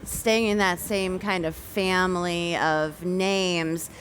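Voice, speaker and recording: A woman's voice: fairly low pitch, fairly even intonation, slow pace, fairly bright tone, low-quality recording. A woman guesting on a live podcast on stage, chatting casually in a dryly playful manner. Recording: live stage mic with faint noise.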